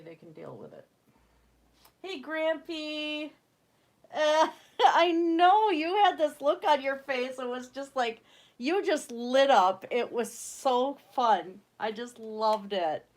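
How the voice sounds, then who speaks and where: A young woman speaks slowly into a desk mic at home, her voice stuffy from a slight cold. She rambles in a loose, chatty way as the host of her own livestream. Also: high voice, lively intonation. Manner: rambling, chatty, laughs often. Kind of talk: casual livestream chat.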